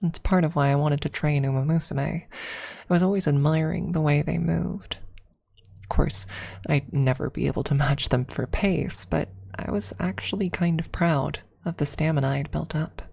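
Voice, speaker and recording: A woman with a creaky voice narrating a scripted story in an ASMR style. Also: sits low, normal speed, a little mellow. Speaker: streamer and story reader.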